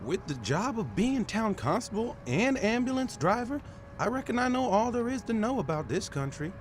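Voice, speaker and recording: A man's voice: high-pitched, sing-song, moderate pace. A man playing a folksy, wary small-town constable in a rural American drawl, in an old-time radio style. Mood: folksy, cautioning. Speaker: actor in a radio mystery. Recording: noisy old radio drama recording.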